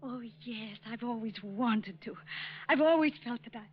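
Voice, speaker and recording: A woman's voice: a little high, normal speed, husky. An actress playing a lonely, trusting, wistful woman in love, breathless and hesitant, in 1940s radio melodrama style. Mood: overcome with emotion. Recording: dull, noisy old radio recording.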